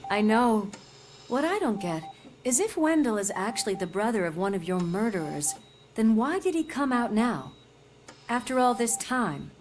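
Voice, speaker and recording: An earnest, loyal police partner. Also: female voice, fairly high pitch, sing-song, moderate pace, darkish tone. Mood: concerned, earnest. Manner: earnest, confiding, conversational. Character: loyal, concerned female police partner recovering in hospital. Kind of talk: video game cutscene acting.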